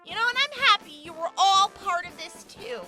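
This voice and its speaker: A woman voicing a cheerful, brash wasteland doctor who speaks loudly and exuberantly, with laughter and a sing-song lilt. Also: very high voice, animated, normal speed, music in the background. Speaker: radio show doctor. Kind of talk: in-character audio drama farewell.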